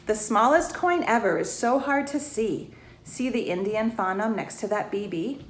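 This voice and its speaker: A woman reading a rhyming children's storybook aloud in an expressive way, pausing to put questions to the young listeners. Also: mid-range voice. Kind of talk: children's storybook read-aloud, rhymed verse with asides to listeners. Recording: roomy echo, faint background noise.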